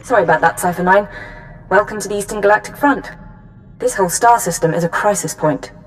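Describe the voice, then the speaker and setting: A businesslike intelligence handler, voiced by a woman briefs crisply in an even, mid-pitched voice. Faint music runs under this game cutscene. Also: brisk, close to an everyday voice, low-quality recording. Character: brisk female intelligence handler.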